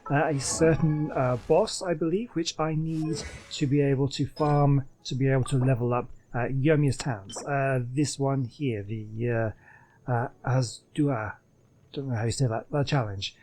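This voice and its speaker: A man's voice: medium pitch, steady tone, unhurried, a little mellow, a little hiss or hum. A man hosting a gaming channel, chatting casually over gameplay in a hesitant, rambling way. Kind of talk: casual let's play commentary.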